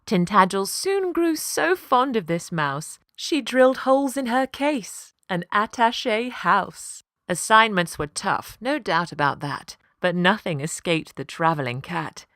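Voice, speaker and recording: A woman narrating a scripted children's story, with an expressive, deliberate and rhythmic storytelling delivery. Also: medium pitch, no echo, studio quality. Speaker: voice actor, storyteller.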